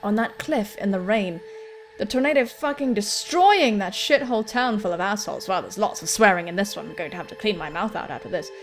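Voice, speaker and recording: A woman's voice: medium pitch, lively intonation, brisk. A woman doing playful, jokey let's play commentary, swearing casually as she reads game text aloud. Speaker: gaming video host.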